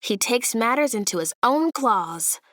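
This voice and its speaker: A woman reads a children's story aloud with a fairly high voice and lively, swooping intonation. She takes her time, placing dramatic emphasis as a storyteller would for young listeners. The sound is close and dry. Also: a little slow, no echo. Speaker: storybook narrator.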